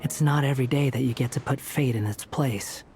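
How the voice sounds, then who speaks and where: A man voicing a laid-back, wry male helper with an easygoing, unhurried manner in a video game. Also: mid-range voice, darkish tone, natural voice. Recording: game audio with faint music behind.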